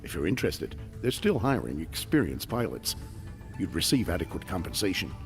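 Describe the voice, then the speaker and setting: An actor playing a brisk, earnest military security commander in video game cutscene dialogue. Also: male voice, sits low, big pitch swings, faint music behind. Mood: serious briefing. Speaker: security chief officer.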